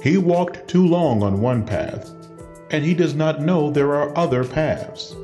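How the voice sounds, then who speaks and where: A man's voice: fairly high pitch, measured pace. A man giving a theatrical scripted narration with weighty phrasing. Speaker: narrator introducing a storytelling program. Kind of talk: dramatic scripted narration with a quotation. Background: music.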